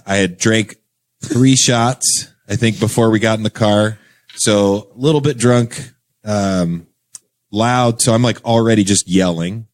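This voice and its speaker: A young man with a fairly low voice tells a story in a loose, easygoing way, stacking up fillers and little laughs. He wanders from point to point like a podcast guest chatting between friends. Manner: rambling, filler-heavy, laughs through stories. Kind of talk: casual storytelling chat.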